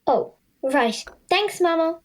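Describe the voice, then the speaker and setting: A woman's voice: very high voice, expressive, slow pace, heavily acted. A female performer playing an earnest, worried young Irish schoolgirl, plaintive and sincere, in a children's audio drama. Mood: subdued concern.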